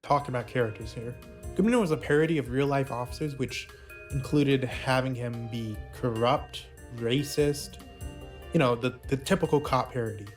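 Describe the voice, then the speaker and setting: Higher-voiced man narrating informal commentary. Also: moderate pace, soft background music. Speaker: video essay narrator. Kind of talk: informal commentary on a puppet series.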